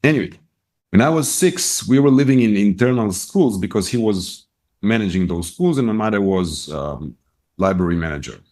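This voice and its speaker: A middle-aged man with an Israeli accent speaks on the low side, telling stories in an interview. He talks in quick bursts, often restarting his sentences. His lively pitch swings up and down as he goes. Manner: animated storyteller, quick bursts, many restarts. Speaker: guest, retail business owner. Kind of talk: casual storytelling interview.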